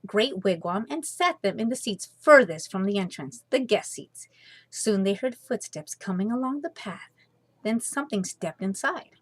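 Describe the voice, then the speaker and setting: A woman reading a children's story aloud as storyteller, expressive and dramatic with deliberate phrasing. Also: on the high side, breathy edge, dry recording.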